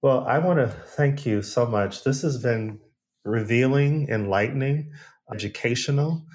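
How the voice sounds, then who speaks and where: A man's voice: medium pitch, a little slow, a little mellow. A man from the United States hosting a podcast, chatting casually and thoughtfully with his guest. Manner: reflective, with small restarts and sighs. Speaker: podcast host. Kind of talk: casual interview chat.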